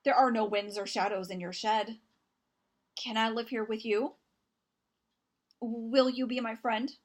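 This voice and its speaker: An excitable, timid little boy fox from a children's storybook, acted out by a woman reader. The voice is high, playful and pleading, eager to make a friend. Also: a little slow, natural voice. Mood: earnest, hopeful.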